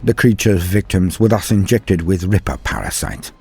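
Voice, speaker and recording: A low-voiced man narrates lore as a show host, with a husky grain and a very animated delivery. His scripted address is grand, formal and theatrical. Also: normal speed, soft background music. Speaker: host of a fantasy lore channel.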